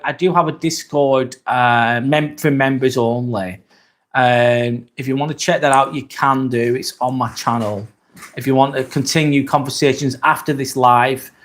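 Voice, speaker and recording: Lancashire guy, 40s, rambly live stream chat, easygoing, lots of ums. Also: mid-range voice. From northwest England. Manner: relaxed, rambling, reads chat comments aloud with many fillers. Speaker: livestream host.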